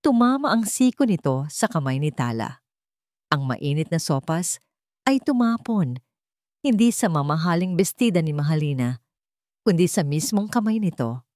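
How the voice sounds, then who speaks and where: Low-voiced woman narrating drama with lively pitch. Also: moderate pace, no room sound, studio quality. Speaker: story narrator. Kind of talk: scripted dramatic audio storytelling.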